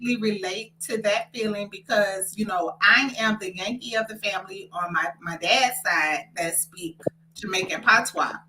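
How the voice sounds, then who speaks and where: A woman's voice: medium pitch, moderate pace, bright, forceful tone, low-quality recording. A woman with a Southern American accent hosting a casual family talk show and steering the conversation with a ready laugh. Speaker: host.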